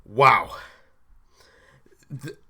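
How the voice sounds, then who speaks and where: Hoarse young male fan host, punchy bright delivery, excitable recap. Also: very slow. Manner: excited, rambling, self-interrupting. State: hoarse, deep rough voice after a late night. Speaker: hockey fan video host. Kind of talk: casual game recap.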